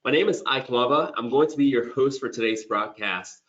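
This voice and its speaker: A man hosting a corporate webinar, a little hoarse as he loses his voice, talking conversationally with frequent restarts. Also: medium pitch, normal speed, fairly bright tone, dull audio. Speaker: webinar host.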